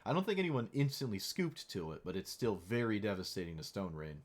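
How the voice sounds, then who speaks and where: A young man streaming a card game, chatting wryly through his plays and teasing viewers, his voice a little hoarse. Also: fairly low pitch, very animated, a little fast. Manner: chatty, wry, playfully mock-dramatic. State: slightly hoarse. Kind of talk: casual gameplay commentary.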